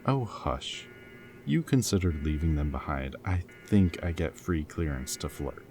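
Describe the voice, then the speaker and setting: A male actor plays a very low-voiced rebel man in a dystopian audio roleplay. He is protective and defiant, with a teasing, flirty streak and a wry, intimate delivery. There is sound behind his voice. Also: very animated, unhurried, full character voice. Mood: playful, flirty. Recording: background music, loud ambient noise in places.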